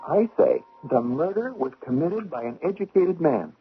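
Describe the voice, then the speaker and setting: An actor playing an eager, clever investigator in a 1970s radio drama, explaining his deductions in an excitable, pedantic way. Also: on the high side, normal speed, faint music behind. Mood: confident. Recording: tinny, faintly noisy vintage radio.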